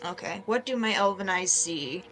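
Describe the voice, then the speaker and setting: A woman's voice: medium pitch, lively intonation, normal speed, fairly bright tone, rough recording. A woman playing a tabletop game and chatting casually and playfully with the group. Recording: music under the voice.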